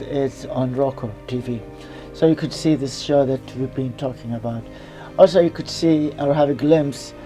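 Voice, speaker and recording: A woman's voice: deep voice, mellow. A woman from Iraq, hosting her TV show in a reflective, rambling monologue with loose grammar. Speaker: TV show host.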